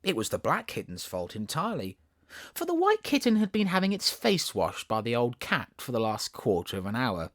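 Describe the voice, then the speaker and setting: A man with a Southern accent reading a storybook as an audiobook narrator, in an expressive, theatrical way. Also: medium pitch, moderate pace, dry recording, studio quality.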